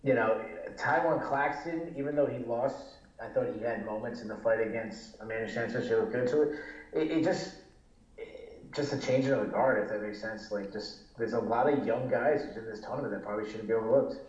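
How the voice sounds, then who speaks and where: A man's voice: medium pitch, fairly quick. Rhode island guy rambling on a podcast, lots of uhs, echoey room, kinda muffled sound. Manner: relaxed, rambling, many fillers and restarts. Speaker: podcast guest. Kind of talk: casual podcast chat. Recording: echoey, dull lo-fi remote audio.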